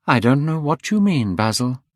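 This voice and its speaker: A man's voice: medium pitch, very animated, moderate pace, no room sound, clean studio sound, pitched above the performer's own voice, lightly acted. A man reading aloud, voicing a vain, careless young man who sounds bored and dismissive. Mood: cool, defiant. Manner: bored, dismissive, rising to sharp cries.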